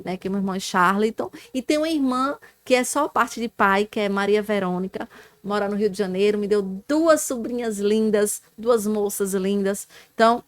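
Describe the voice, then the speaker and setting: A woman's voice: mid-range voice, no echo. A woman in her forties with a Northeastern Brazilian accent, speaking as a podcast guest. She talks in an earnest, devout way with small restarts. The recording is close and dry. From Alagoas, Brazil. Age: 40s. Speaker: guest, former councilwoman and activist. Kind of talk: telling her life story.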